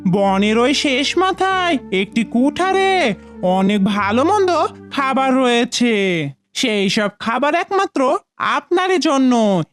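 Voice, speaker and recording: A sly cartoon fox, acted by a woman, high and very animated. The delivery is wheedling, theatrical and boastful, taken at an unhurried pace. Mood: flattering. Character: sly, scheming, flattering fox. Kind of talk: cartoon fable voice acting.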